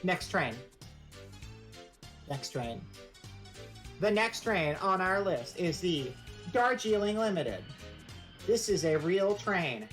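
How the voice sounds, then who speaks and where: A woman with a notably low voice hosts a casual live stream, presenting in a rambling, joking way. She keeps a playfully mock-serious tone throughout, and soft music plays faintly behind her. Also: sing-song, slow pace, pauses often.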